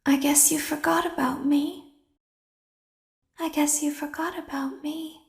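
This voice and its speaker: In a long, reverberant hall, a high-voiced female animatronic clown for a horror game. The robot speaks slowly and softly, like a child, with a calm that is quietly sinister. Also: gravelly. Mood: softly menacing. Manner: slow, deliberate, soft-spoken, sinister calm. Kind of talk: scripted horror game voice acting.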